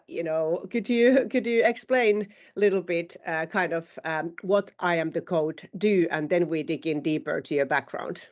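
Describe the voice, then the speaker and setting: A woman's voice: fairly low pitch, muffled recording. A woman hosting a podcast interview, reading a scripted opening and then asking questions hesitantly with many fillers. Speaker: podcast host.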